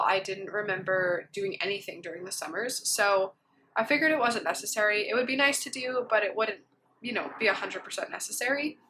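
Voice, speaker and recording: A woman's voice: mid-range voice, pushed and bright, a little hiss or hum. A woman in her thirties, congested from a cold, chatting casually to camera as a homeschooling mom, rambling with frequent ums.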